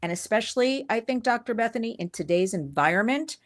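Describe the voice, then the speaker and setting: A woman hosts an interview show. She talks in an animated, gushing way, laughing often and bubbling over with praise for her guest. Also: a little high, a little fast. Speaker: podcast host. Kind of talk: enthusiastic interview chat.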